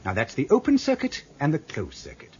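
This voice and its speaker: A man's voice: on the high side, wildly swooping pitch, a little fast, darkish tone. A man playing a computer programmer in a radio drama, explaining things patiently and chattily. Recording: dull lo-fi audio with faint noise.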